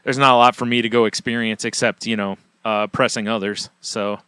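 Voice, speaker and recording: A man's voice: on the low side, level tone, brisk, bright, forceful tone, clear, resonant tone, no room sound. A man co-hosting a podcast, talking in a rambling, casual way full of "like" and "you know" asides. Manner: rambling, filler-heavy, many asides. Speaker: podcast co-host. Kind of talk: casual chat.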